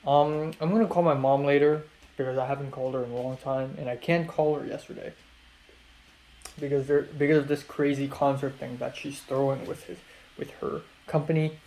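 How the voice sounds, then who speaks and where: A man's voice: medium pitch, fairly even intonation, brisk, a little mellow, rough recording. A young man rambling through a late-night video diary about his college classes, hesitant and full of fillers and restarts. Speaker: college student vlogger.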